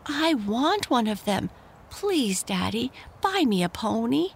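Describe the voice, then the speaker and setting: A woman voicing an eager little boy of about nine who begs excitedly in a high voice. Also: slow, vocal fry, slightly rough, heavily acted. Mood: pleading.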